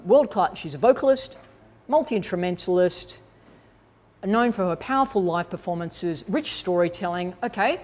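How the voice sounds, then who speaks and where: A woman's voice: fairly low pitch, a little mellow. Woman giving an informal, conversational workshop talk. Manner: conversational, explanatory, thinks aloud while demonstrating. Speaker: workshop presenter. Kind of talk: informal instructional talk to attendees.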